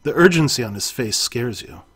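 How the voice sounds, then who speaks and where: Male storyteller with a husky, mid-range voice; reads an interactive adventure aloud and fixes his own slips. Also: medium pitch, lively intonation, normal speed, fairly bright tone. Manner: second-person narration, occasional self-corrections.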